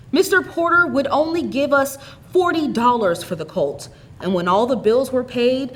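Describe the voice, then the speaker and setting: A woman's voice: high-pitched, a bit bright, voice pushed up. Woman voicing a weary, hard-working widowed aunt. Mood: distraught. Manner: weary, broken.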